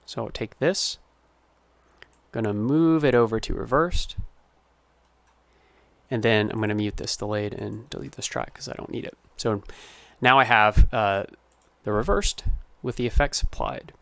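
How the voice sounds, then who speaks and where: A man's voice: lowish voice, unhurried, vocal fry, fairly bright tone, no room sound. A man giving an informal tutorial as a sound designer, explaining his process step by step. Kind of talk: informal screen-recorded tutorial narration.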